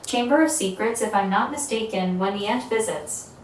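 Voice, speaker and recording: Echoey tts lady reading chat messages, kinda high, room noise behind. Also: moderate pace. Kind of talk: short viewer messages read aloud by text-to-speech. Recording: echoey, played into a noisy room.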